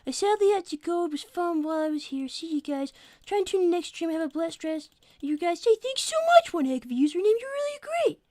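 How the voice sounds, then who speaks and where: A woman's voice: extremely high pitch, lively intonation, fairly quick, a little mellow, no room sound. A woman playing a smug, precocious young cartoon fox boy co-hosting an in-character livestream with matter-of-fact, needling chat. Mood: grateful, earnest. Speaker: livestream co-host.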